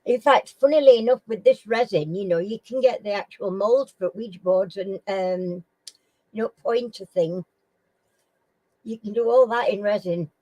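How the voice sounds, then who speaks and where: A middle-aged woman from Sheffield with a Sheffield accent, hosting a casual live chat with viewers in a slow, homely way and often clearing her throat. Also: medium pitch, mellow, no room sound, rough recording. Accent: Sheffield English. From Sheffield, England. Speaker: live stream host.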